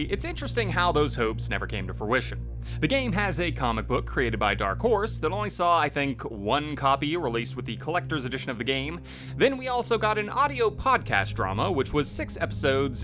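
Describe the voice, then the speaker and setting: A man giving scripted narration as a video host. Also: on the high side. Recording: music playing behind the voice. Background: loud music.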